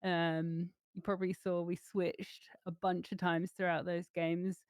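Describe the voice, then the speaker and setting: A woman team captain in a casual post-match interview, a bit hoarse from losing her voice, upbeat and quick to laugh. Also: on the low side, little pitch movement, no room sound, studio quality. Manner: laughs easily, upbeat, rambling.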